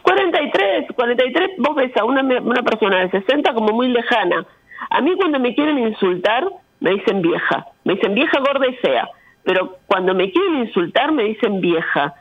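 A woman's voice: on the high side, very animated, normal speed, no room sound, muffled recording. A woman in her fifties with an Argentine accent, speaking frankly and emphatically as an interview guest, often with rhetorical questions. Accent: Argentine Spanish. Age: 50s. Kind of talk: candid conversational interview.

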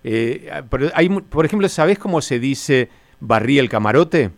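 A man's voice: mid-range voice, expressive, moderate pace, vocal fry, no room sound. A man with a Rioplatense Spanish accent chatting casually, joking and rambling as he explains things. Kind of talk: casual comic banter.

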